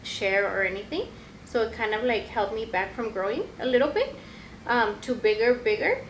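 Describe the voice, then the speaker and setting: A woman's voice: on the high side, lively intonation, moderate pace. A woman whose first language is Spanish, co-hosting a casual podcast and reflecting on work, full of "like" and "you know". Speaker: podcast co-host. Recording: echoey room with faint noise.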